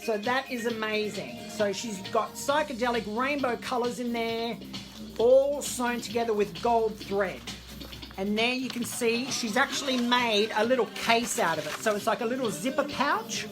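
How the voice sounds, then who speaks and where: A woman's voice: fairly high pitch, normal speed. A woman hosting an online story time, chatting to viewers in a theatrical, gushing way. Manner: theatrical, gushing, addresses audience as darlings. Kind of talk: chatty show and tell to viewers. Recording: music playing in the background. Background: background disco music.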